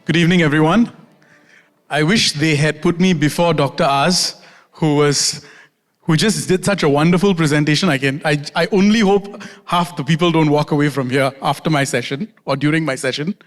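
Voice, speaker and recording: A man from Singapore gives a friendly, self-deprecating conference talk as a doctor and consultant, slowing down to repeat key phrases. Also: on the high side. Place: large conference hall. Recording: reverberant conference hall.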